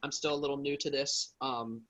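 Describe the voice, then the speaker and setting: A man's voice: medium pitch, fairly even intonation, fairly quick, fairly bright tone, dull audio. A man from Pennsylvania casually introducing himself with rambling fillers over a video call. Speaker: game player.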